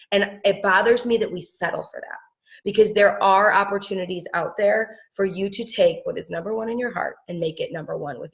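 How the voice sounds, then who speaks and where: A woman's voice: fairly low pitch, vocal fry. A woman hosting a business call, giving an emphatic, persuasive motivational talk and introducing her guest. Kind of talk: motivational pitch.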